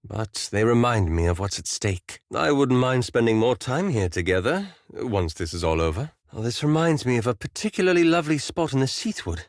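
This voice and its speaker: Man acting a refined, bookish wizard with lively intonation. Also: mid-range voice, normal speed, hardly any pauses, dry recording, clean studio sound, close to an everyday voice. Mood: wistful, gentle. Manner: formal, fussy, polite, dryly reproachful. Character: refined, bookish, cautious male wizard companion, easily exasperated. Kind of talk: fantasy video game voice acting.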